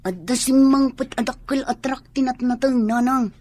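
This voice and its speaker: An actress playing a dutiful, hard-working young person, earnest and reassuring, in a radio drama. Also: female voice, sits high, moderate pace, a little mellow. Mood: caring, earnest. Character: dutiful, hard-working young adult child of ailing mother.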